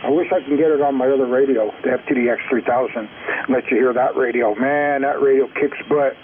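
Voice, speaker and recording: A man's voice: medium pitch, fairly even intonation, a little fast. A man chatting casually and enthusiastically over two-way radio, with a slangy way of talking. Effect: two-way radio transmission. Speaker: amateur radio operator. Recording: narrow tinny sideband radio, faint static.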